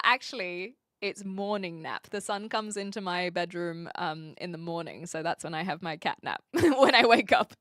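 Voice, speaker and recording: A woman livestreamer with a bright, forward voice in a very dry, close sound. Her accent is a neutral blend of Australian and British, with carefully shaped words. She chats casually and animatedly, often joking with her viewers. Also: medium pitch, no room sound. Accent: neutral Australian-British mix. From New Zealand, later Australia and the UK. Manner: animated, chatty, jokey, carefully articulated. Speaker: livestream host.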